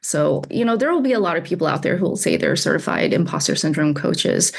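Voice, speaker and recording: Low, gravelly woman coach, candid. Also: lively intonation, fairly quick. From United States (Alabama). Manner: candid, laughs often, repeats words. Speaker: executive coach, guest. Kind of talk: conversational interview answers.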